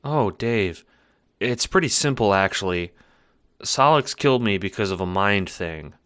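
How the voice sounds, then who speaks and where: A man voicing a calm, weary troll girl who died, speaking in a flat, deadpan way in a fan audio drama. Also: lowish voice, a little slow, dry recording, clean studio sound.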